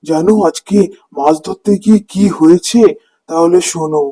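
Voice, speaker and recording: A man's voice: fairly high pitch, normal speed, lightly acted. An actor voicing a poor, humble village fisherman and father in a cartoon, earnest and plaintive. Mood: eager. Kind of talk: cartoon voice acting.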